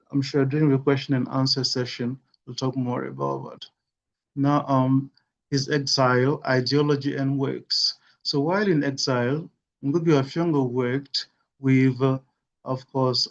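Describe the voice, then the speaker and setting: Male webinar lecturer, mid-pitched, measured delivery with many fillers, muffled call audio. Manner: measured, with frequent "you know" and "uh". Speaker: webinar speaker. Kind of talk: academic lecture. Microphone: video call.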